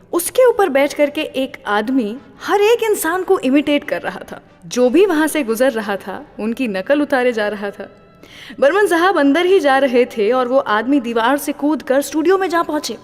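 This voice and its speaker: Chatty radio host woman, high voice. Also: long reverb tail. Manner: chatty, teasing storyteller. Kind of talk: radio storytelling between songs.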